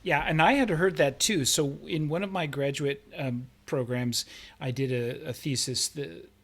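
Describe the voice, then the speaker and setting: A man's voice: on the high side, a little slow, a bit bright. A man in casual panel conversation, talking informally and checking that listeners agree. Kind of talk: casual chat.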